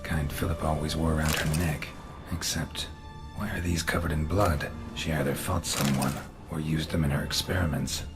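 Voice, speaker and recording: An actor playing a gruff, world-weary monster hunter in a fantasy game, with a gravelly voice and clipped, deadpan delivery. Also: male voice, extremely low pitch, normal speed, hardly any pauses, over music. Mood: brooding, observant.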